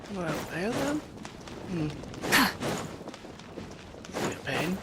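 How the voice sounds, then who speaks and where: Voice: mid-range voice, very animated, takes it slowly, very noisy. A game streamer giving casual commentary in short, muttered asides while playing. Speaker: gameplay streamer. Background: game music and sounds.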